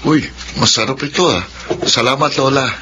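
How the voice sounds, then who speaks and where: A man in his twenties playing a neglected, earnest son, polite and quietly resentful, in a Filipino radio drama. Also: mid-range voice, moderate pace, noisy background, lightly acted. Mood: friendly. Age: 20s. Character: neglected, earnest twenty-year-old son of wealthy father.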